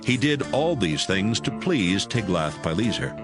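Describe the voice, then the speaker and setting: Male narrator, lowish pitch, varied expressive intonation, scripted audio Bible reading, music bed. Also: unhurried. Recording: music under the voice.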